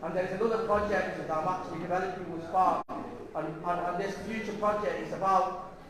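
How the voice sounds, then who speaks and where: A middle-aged councillor addresses a community gathering in a fairly high voice, earnest and given to stumbling restarts. He is recorded from a distance in a strongly echoing hall, and the chatter of a crowd carries underneath. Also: steady tone, fairly quick. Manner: earnest, repeats words while restarting. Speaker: local councillor. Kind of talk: community event speech.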